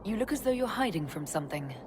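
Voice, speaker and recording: A woman playing a composed elf mage leader in a video game, measured and questioning. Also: mid-range voice, sing-song, music in the background, natural voice. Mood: composed, inquiring. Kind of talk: video game dialogue acting.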